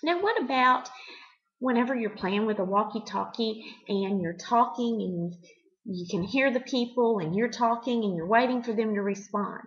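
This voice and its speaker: A middle-aged woman hosting a devotional for children, teaching in simple, expressive words and putting questions to the listener. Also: a little high, normal speed, lo-fi sound. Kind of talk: devotional talk addressed to children, with storytelling.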